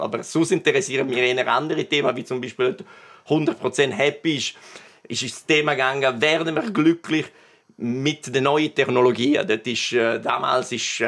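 Man with Swiss Italian accent, halting delivery. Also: mid-range voice, fairly bright tone. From Bergell, Italian-speaking Switzerland. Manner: halting, repeats words, often adds tag questions. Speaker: cabaret artist and guest. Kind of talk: conversational interview answers.

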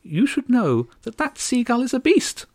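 A man voicing a tiny, haughty female starling queen with a high, prim voice and a sharp, clipped manner. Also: sing-song, moderate pace, no room sound, studio quality, exaggerated voice. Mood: indignant.

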